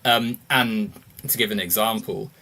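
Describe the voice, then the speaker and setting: A British man from the UK, explaining his views in a casual political discussion, measured and full of fillers. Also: lowish voice, steady tone, gravelly, pushed and bright, poor audio. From United Kingdom. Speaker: guest.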